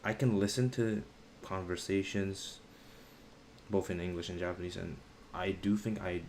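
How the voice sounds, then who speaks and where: Low-voiced young man, halting stream chat. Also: fairly even intonation, darkish tone, a little hiss or hum. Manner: halting, searching for words, slangy. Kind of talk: casual live stream chat.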